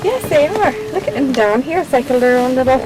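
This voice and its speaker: A woman's voice: sits high, animated, fairly quick. A woman making casual on-the-spot remarks on a tour, pointing out what is around her. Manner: pointing things out. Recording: very noisy handheld recording, urban traffic.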